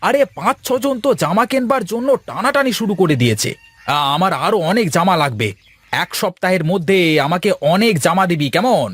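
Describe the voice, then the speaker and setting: A man playing an excitable, friendly village clothes shopkeeper in a cartoon, jovial and full of exclamations. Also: sits high, fairly quick, breathy edge, no echo, exaggerated voice. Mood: excited, businesslike. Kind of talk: Bengali moral-story cartoon voice acting.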